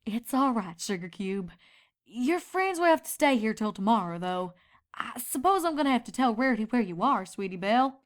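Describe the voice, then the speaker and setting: A woman's voice: fairly high pitch, very animated, normal speed. A woman voicing a protective, responsible young farm mare, a folksy and bossy but caring big sister in a story. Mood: reassuring.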